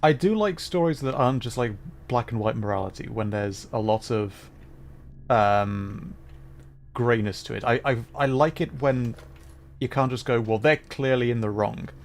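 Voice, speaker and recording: Male game streamer, mid-pitched, casual and halting, thinking aloud over faint background noise. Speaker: livestreamer playing a game. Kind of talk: casual livestream chat and gameplay commentary.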